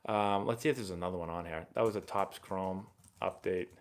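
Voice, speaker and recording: Rambling excitable man hosting a baseball stream. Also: low voice, brisk. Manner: rambling and excitable, many fillers and restarts. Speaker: host of a live baseball Q&A stream. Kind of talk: casual live-stream chat answering viewer questions.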